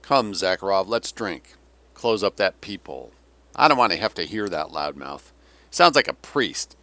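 A man's voice: deep voice, animated, normal speed, sharp tone, dry recording, exaggerated voice. Man acting a cynical, sneering old Russian general. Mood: bitter, sneering. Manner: sneering, ranting, theatrical. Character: cynical, weary, vulgar old Russian general, bitterly sardonic. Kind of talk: dramatic audiobook character reading.

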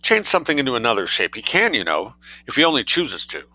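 A man voicing a frightened, pleading English society aunt for a short story, in shrill tones. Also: huge pitch swings, fast, bright, forceful tone, full character voice, low-quality recording. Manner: pleading, screaming shrilly, tearful.

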